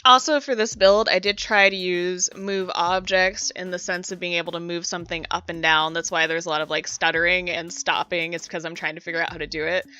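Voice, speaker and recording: A woman's voice: fairly low pitch, sharp tone, clear, resonant tone, no room sound. A woman with a congested voice, getting over a cold, narrating a build video in a chatty way and talking straight to her viewers. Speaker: video game build creator. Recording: dull lo-fi voice-over with music behind.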